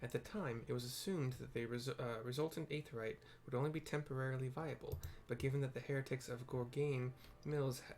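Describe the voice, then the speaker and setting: A man's voice: medium pitch, gravelly, darkish tone, lightly acted. Man reading as formal, earnest fantasy knight. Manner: formal, wordy, occasionally stumbling. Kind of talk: archaic fantasy dialogue read aloud.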